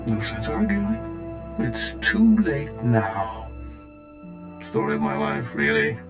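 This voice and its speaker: A man's voice: fairly high pitch, big pitch swings, takes it slowly, creaky, full character voice. An actor playing a bitter, resigned and weary old man in a video game. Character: bitter, defeated old male local, resentful and weary. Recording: music behind the voice.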